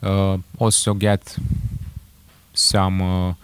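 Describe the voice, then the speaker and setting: A young man with a Romanian accent telling his own story to camera, halting and correcting himself with many fillers. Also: sits low, completely flat delivery, clean tone, no echo. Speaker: entrepreneur vlogger. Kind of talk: casual personal story to camera.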